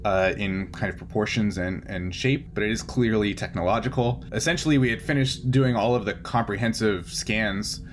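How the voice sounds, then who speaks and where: A man's voice: lowish voice, normal speed, few pauses, a little hiss or hum. A man narrating as game master of a tabletop roleplaying game, improvising and often searching for words.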